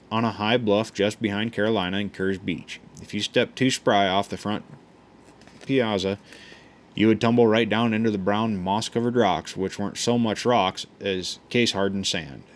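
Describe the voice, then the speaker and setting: A man reading a memoir aloud in an unhurried, folksy way, often stumbling and restarting words. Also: on the low side. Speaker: audiobook reader.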